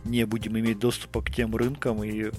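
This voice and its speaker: A man's voice: lowish voice, flat delivery, brisk, a little mellow, faint music behind. A man hosting a casual podcast discussion, often repeating himself as he searches for words. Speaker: podcast host.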